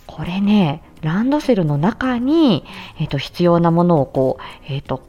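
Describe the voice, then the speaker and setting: Animated, bright-voiced woman explaining casually. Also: on the low side, unhurried. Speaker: speech therapist, audio show host. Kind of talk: casual explanatory solo talk. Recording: slightly dull, muffled lo-fi recording.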